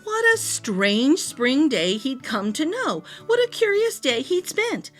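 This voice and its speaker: Animated, high-voiced woman storyteller. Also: faint music behind. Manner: deliberate, dramatic phrasing. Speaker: storytime reader. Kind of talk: expressive rhyming picture-book narration for young children.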